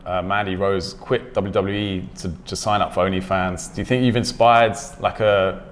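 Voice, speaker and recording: A man's voice: deep voice, fairly even intonation, normal speed. A man interviewing a guest, easygoing, with short agreeing replies. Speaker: sports interviewer. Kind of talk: casual interview questions.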